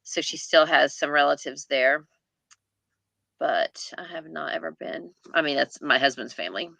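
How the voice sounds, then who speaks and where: A woman's voice: deep voice, moderate pace, gravelly, pushed and bright, dry recording. A woman hosting a casual group book chat, talking in a chatty, playfully teasing way. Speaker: host of a book chat livestream. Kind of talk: casual chat, reading viewer comments. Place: indoors on a group video call.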